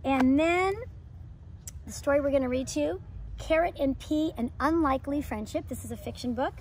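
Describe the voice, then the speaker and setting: An enthusiastic, expressive woman presenting a children's lesson and picture-book read-aloud as a homeschool educator. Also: high-pitched, normal speed. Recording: clear background noise.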